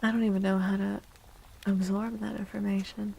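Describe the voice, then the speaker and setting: A woman speaking softly and breathily, often near a whisper, in an unhurried, gentle ASMR livestream chat. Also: mid-range voice, level tone, creaky, slightly rough. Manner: gentle, slow, unhurried, addressing chatters. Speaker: livestream host and tarot reader.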